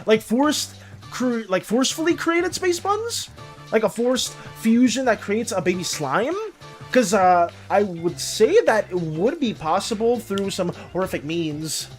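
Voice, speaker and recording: Casual livestream host, animated, rambling, very lively pitch, mid-range voice, faint music behind. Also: measured pace. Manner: animated, rambling, reacts to chat. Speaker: art and game streamer. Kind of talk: casual livestream chat with viewers.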